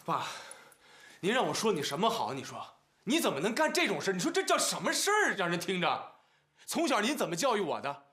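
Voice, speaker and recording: A man's voice: high-pitched, huge pitch swings, fairly quick, sharp tone, full character voice. An actor playing an exasperated, long-suffering younger brother in his thirties in a TV family drama, pleading in rhetorical questions. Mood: reproachful, dismayed. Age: 30s. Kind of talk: naturalistic TV drama acting. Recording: background music under dialogue.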